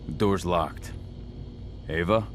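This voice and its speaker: An actor playing a gruff, deep-voiced werewolf father and steady pack fighter in a video game cutscene. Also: slow, noisy background. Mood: focused.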